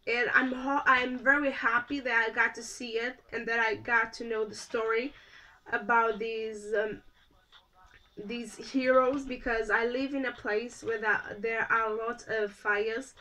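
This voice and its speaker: A woman's voice: on the high side, steady tone, a little slow, sharp tone, low-quality recording. A young woman from Portugal with a Portuguese accent, chatting casually about movies she watched, with many fillers and restarts. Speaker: vlogger. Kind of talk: casual movie review vlog.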